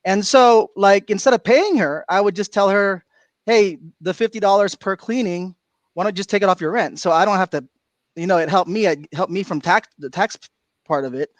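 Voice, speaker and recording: A middle-aged man from Florida, a guest on a livestream, telling stories in an animated way and laughing often. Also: sits high, moderate pace, dry recording. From Florida, USA. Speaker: guest speaker. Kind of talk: casual livestream chat. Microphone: video call.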